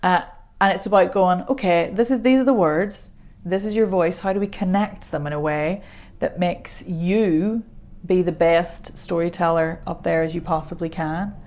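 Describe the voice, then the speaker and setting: A woman, a voice coach chatting as a podcast guest, self-deprecating and quick to laugh. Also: fairly low pitch, darkish tone. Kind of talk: casual podcast conversation.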